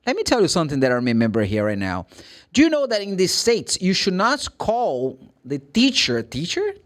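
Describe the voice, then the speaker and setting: A man's voice: sits high, wildly swooping pitch, moderate pace. A man from Brazil with a Brazilian accent, a native Portuguese speaker, teaching English pronunciation informally and emphatically, often answering his own questions. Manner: emphatic, asks and answers own questions, acts out short examples.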